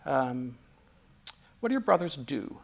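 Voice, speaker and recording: A man hosting a relaxed interview, asking questions with frequent pauses, ums and restarts. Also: mid-range voice, gravelly. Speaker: interviewer and host.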